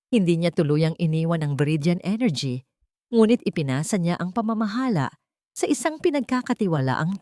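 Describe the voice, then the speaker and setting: A woman's voice: on the low side, darkish tone, no room sound, studio quality. A woman with a Filipino accent reading a scripted dramatic story as narrator.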